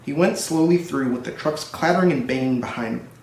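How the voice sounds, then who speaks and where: A man's voice: mid-range voice, a little fast, a little mellow, slight background noise. A man narrating a children's story as a storybook reader. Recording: roomy echo, distant microphone.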